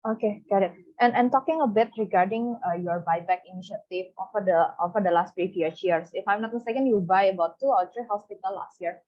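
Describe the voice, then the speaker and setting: A woman's voice: medium pitch, fast, few pauses. A woman asking polite, tentative, wordy questions as an analyst on an online conference call. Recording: dull lo-fi call audio.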